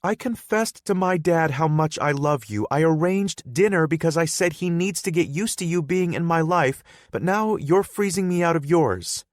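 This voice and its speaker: A man's voice: on the high side, lively intonation, normal speed, hardly any pauses, no echo, studio quality, pitched above the performer's own voice, natural voice. A man voicing a playful, teasing young woman in an audiobook, raising his voice to sound bubbly and pleading. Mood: indignant. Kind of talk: audiobook character voice.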